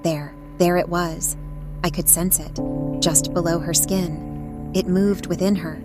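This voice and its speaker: A woman voicing a devoted, perceptive pet cat, telling a story in a measured, earnest way. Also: on the low side, flat delivery. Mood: intent. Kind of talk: first-person dramatic story narration. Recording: narration over prominent music.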